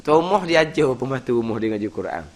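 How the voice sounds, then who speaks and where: Lively religious teacher. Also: male voice, mid-range voice, quick pace. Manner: lively and conversational, with chuckles and frequent "ha" fillers. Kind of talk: weekly mosque study lecture. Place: prayer hall lecture.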